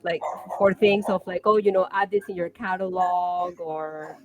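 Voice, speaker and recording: A young woman streamer joins a casual livestream chat in a fairly low-pitched voice. She talks with eager energy. She rambles through her points with plenty of fillers. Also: fairly even intonation, a little slow, muffled recording, music in the background. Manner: enthusiastic, rambling with many fillers. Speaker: streamer guest.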